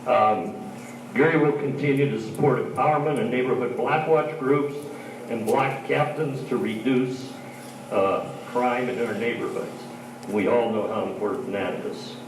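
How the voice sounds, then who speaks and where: A man with a big, folksy voice speaks at a community candidates night on someone else's behalf. He is heard from across a reverberant hall with steady background noise. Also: medium pitch, a little slow. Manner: loud, folksy, joking. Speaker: stand-in speaker for a council candidate. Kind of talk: public candidates-night speech. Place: large hall, heard from far off. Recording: echoey hall from far off, noisy.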